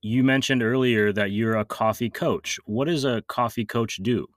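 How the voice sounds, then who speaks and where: A man's voice: mid-range voice, normal speed, no room sound, studio quality. A man hosting an interview, asking questions casually with hesitations and restarts. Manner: hesitant, with filler ums and restarts. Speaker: interview host.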